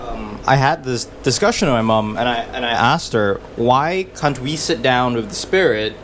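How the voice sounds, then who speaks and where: A man's voice: medium pitch, lively intonation, normal speed, fairly bright tone, slight background noise. Laid-back man telling a wry story. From Malaysia. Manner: laid-back, many fillers and restarts, wry humor. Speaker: musician guest. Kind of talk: casual storytelling chat.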